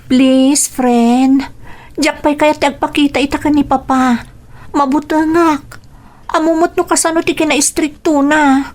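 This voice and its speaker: A woman playing a gentle, troubled young runaway daughter in a radio drama, plaintive and confiding, with a Filipino accent. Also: high voice, steady tone, a little slow. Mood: pleading, fearful. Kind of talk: radio drama acting and narration.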